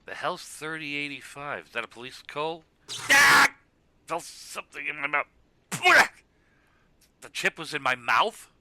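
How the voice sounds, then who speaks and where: A man's voice: fairly high pitch, very animated, measured pace, pauses often, pushed and bright, slight background noise, exaggerated voice. A man acting a heartfelt radio co-commentator in a web cartoon, speaking in an earnest, emphatic, speechifying way. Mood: startled. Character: loud heartfelt radio co-commentator consoling his rival. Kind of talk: animated web cartoon dialogue.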